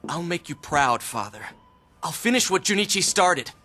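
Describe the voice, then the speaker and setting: An actor playing an eager, wounded younger son in a video game, earnest and pleading. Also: male voice, a little high, big pitch swings, moderate pace, bright, forceful tone, faint music behind. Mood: pleading, determined. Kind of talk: video game cutscene acting.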